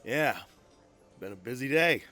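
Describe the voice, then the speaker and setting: A man's voice: mid-range voice, expressive, unhurried, pushed and bright, faint music behind. A man playing a tired, friendly detective in an audio drama, courteous and familiar in manner. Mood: friendly, weary. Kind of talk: naturalistic audio drama dialogue.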